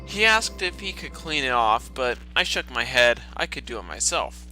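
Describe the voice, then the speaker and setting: Bright, forceful man reading with sarcasm. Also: medium pitch, big pitch swings, normal speed, soft background music, rough recording. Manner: sarcastic, foul-mouthed, exasperated asides. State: lingering cough, clears throat. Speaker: host of a storytime reading series. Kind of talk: mocking dramatic reading with commentary.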